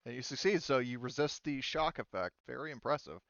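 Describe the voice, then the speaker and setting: A man's voice: medium pitch, lively intonation, fairly quick, vocal fry, a bit bright, dry recording, highs cut off. A man acting as game master, casually refereeing a tabletop game and explaining rules step by step.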